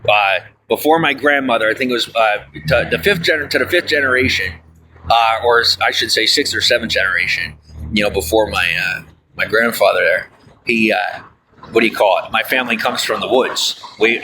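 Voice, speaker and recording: A man's voice: lowish voice, moderate pace, background noise. A man livestreaming, chatting casually with viewers and reading their comments, rambling with lots of fillers and folksy asides. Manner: folksy, often says "I'll tell you what".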